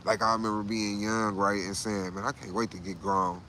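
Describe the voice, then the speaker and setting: A man's voice: fairly low pitch, level tone, moderate pace, sharp tone, a little hiss or hum. A man in his late thirties hosting a casual livestream, talking loosely and profanely, repeating himself and saying "bro" a lot. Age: 30s. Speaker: livestream host.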